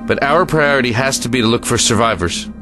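A man's voice: mid-range voice, brisk, music in the background, natural voice, poor audio. A man playing a firm, protective army officer in a war audio drama, giving clipped orders. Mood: firm command.

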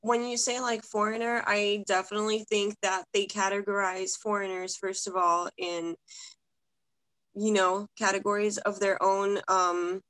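A woman's voice: medium pitch, level tone, unhurried, fairly bright tone, no room sound, rough recording. A young woman from Russia, a guest talking casually over a video call from home, with many filler words. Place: home room, indoors.

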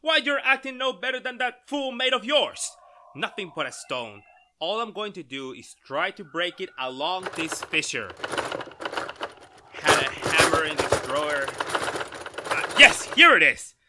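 A man playing an excitable, skeptical scientist in a retro radio drama, melodramatic and halting, increasingly astounded. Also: sits high, noisy background. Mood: dismissive.

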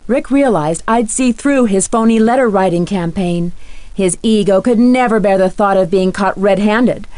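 A woman playing an earnest, sharp young female detective in a video game, speaking inquisitively and with clear diction. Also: mid-range voice, no echo, natural voice. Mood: grave, accusing.

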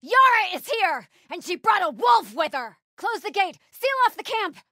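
A zealous female cult warrior from a video game. Her voice is very high and piercingly bright, and it swings widely as she barks hostile, commanding battle cries at her enemies. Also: sing-song, moderate pace, no echo, studio quality, exaggerated voice. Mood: frantic battle fury. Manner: urgent, commanding, hostile shouting.

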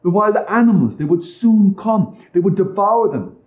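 A man's voice: high-pitched, lively intonation, normal speed, roomy sound, muffled recording, voice pushed up. A man voicing a poor, anxious woodcutter father in a storybook reading, with a pleading, strained delivery.

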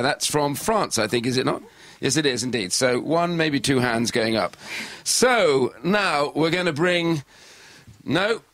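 A man hosting a radio show, greeting a packed studio with brisk, repetitive questions and chuckling asides. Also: fairly high pitch, fairly bright tone. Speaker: radio host. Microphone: studio microphone with headphones.

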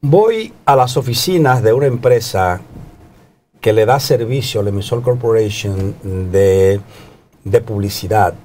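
Panel guest, male, 60s, Dominican accent, deliberate storyteller, TV studio with faint noise. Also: lowish voice, darkish tone. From Dominican Republic. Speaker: guest panelist, TV channel director. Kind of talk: anecdotal political talk. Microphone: lapel.

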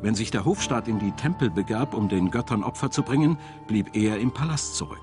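A man narrating a scripted documentary in a measured, dramatic storytelling manner. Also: lowish voice, lively intonation. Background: music.

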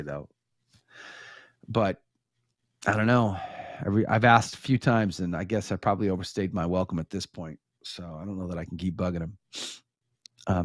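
A man's voice: fairly low pitch, dry recording. Middle-aged American man, dry close-miked talk. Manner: conversational, rambling with side tangents. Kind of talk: casual chat with viewers, personal storytelling. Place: small home studio with bookshelves, indoors. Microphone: close studio microphone on boom arm.

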